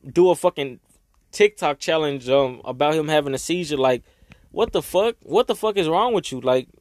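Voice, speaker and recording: A young man talking casually and emotionally to his viewers, rambling and swearing, his voice raspy from crying. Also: fairly high pitch, dry recording, studio quality. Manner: rambling, swearing, heartfelt, Southern US slang. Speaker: vlogger. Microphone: own microphone.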